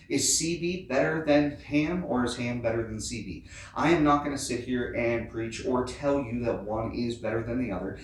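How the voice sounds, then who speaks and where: A man's voice: medium pitch, slight background noise. A middle-aged man giving a casual explainer as host of an off-road how-to channel. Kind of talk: casual explainer talk to camera. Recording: very echoey, distant from the mic.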